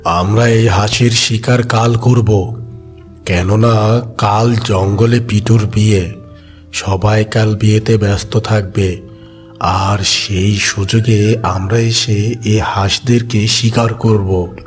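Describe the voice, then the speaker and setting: A man's voice: fairly low pitch, fairly even intonation, slow, faint music behind, voice pushed down. A man voicing a cautious, scheming tiger uncle who explains his plans in a cartoon story.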